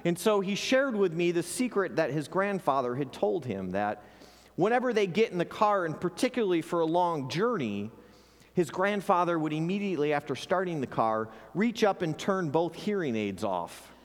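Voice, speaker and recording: A man's voice: a little high, big pitch swings, moderate pace. A man pastor preaching an earnest sermon to his congregation, sometimes repeating words as he restarts a phrase. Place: church sanctuary. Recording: long hall reverb.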